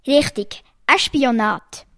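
A woman reading jokes aloud in a lively storytelling way, changing her voice for the quoted lines. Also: high-pitched, slow, dry recording, clean studio sound.

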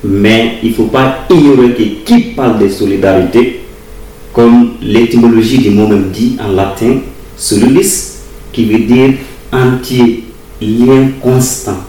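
A man answering interview questions in a formal, deliberate way, repeating words as he explains. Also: medium pitch, mellow. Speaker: interviewee, university teacher of German and English. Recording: roomy distant recording with faint ambient noise.

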